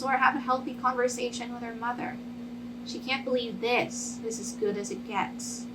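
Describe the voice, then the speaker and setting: A woman presenting her own short fiction in a literary reading style. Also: mid-range voice. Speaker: writer reading own story. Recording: clearly noisy, humming background.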